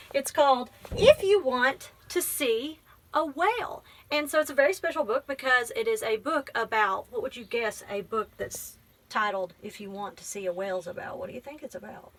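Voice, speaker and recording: A woman teaching and reading aloud to children in a high, bright voice. She explains simply and asks guiding questions, with some faint noise behind her. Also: poor audio. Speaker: library teacher.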